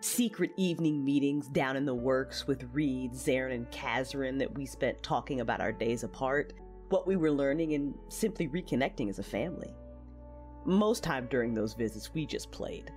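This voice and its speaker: A woman's voice: very deep voice, normal speed, darkish tone, faint music behind. A woman playing a reflective, war-weary young female knight, narrating her memories earnestly in an audio drama. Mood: fond, nostalgic. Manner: earnest, reminiscing.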